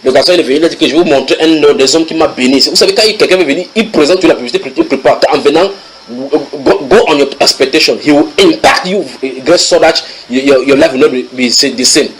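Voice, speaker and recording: Man, preacher, somewhat high voice, lively sermon, reads English and translates, banters with the congregation. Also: fast, poor audio. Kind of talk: sermon teaching.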